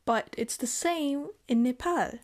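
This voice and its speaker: A young woman from Germany, speaking in a reflective personal vlog, thoughtful and hesitant, with halting phrasing. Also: high-pitched, a little mellow. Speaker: vlogger.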